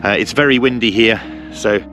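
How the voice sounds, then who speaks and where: A man's voice: lowish voice, moderate pace, a bit bright, faint music behind. A middle-aged man presenting a casual hobby tutorial, explaining step by step in a hesitant way.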